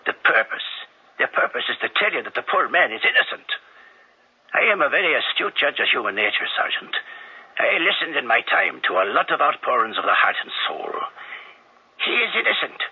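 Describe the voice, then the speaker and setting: A husky, insistent priest. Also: male voice, fairly high pitch, sing-song, brisk, full character voice. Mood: earnest, insistent. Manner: emphatic, formal, rhetorical phrasing. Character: earnest, insistent priest, wry and persuasive. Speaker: actor in a radio drama. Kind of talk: old-time radio drama acting. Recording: muffled, narrow-band radio recording.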